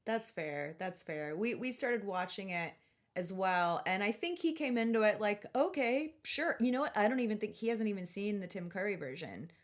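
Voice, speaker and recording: Sweary, slangy woman podcaster. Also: mid-range voice. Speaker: podcast co-host; stand-up comic. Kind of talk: casual chat.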